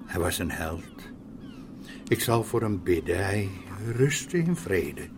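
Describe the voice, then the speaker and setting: An actor playing a frail, pious, kindly old village man in a radio drama, speaking in a slow, solemn, reflective way. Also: sits low, big pitch swings, noisy background, heavily acted. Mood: solemn, admiring. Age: older. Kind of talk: Dutch radio drama acting.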